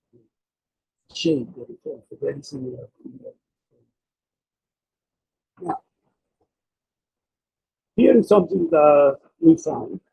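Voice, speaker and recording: Man, very creaky husky voice, halting technical lecture, dull lo-fi sound. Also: fairly high pitch, warm tone. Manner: halting, repeats and rephrases phrases. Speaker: presenter of a technical talk. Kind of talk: explanatory academic slide presentation.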